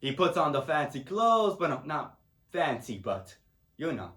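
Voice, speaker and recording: A proud, tender, grieving middle-aged Puerto Rican father, acted by a man in a dramatic monologue. His voice is high and swings widely, with a Spanish accent and rambling broken English. It sounds in an echoey room. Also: very animated, measured pace, fairly bright tone. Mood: fond, wistful. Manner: broken English, rambling, tags phrases with "huh?".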